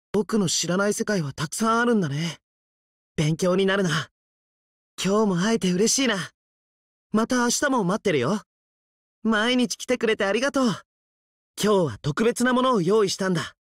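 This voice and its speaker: A man's voice: sits high, dry recording, studio quality. An actor playing an earnest, friendly young male space-faring hero in a game, boyish and politely upbeat. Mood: warm, grateful. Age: young adult. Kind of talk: Japanese RPG character voice lines.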